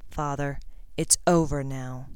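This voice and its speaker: A woman's voice: a bass voice, steady tone, slow, darkish tone, clear, resonant tone, no echo, voice pushed down. A woman voicing a proud teenage wizard boy who speaks firmly.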